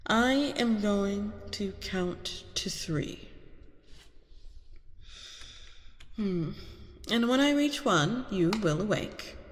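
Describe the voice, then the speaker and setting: A woman playing a seductive, manipulative vampire queen who poses as a therapist in an ASMR roleplay, coaxing and breathy, often slipping into whispers. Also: medium pitch, lively intonation, takes it slowly. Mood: hypnotic, commanding. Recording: long reverberant echo on voice.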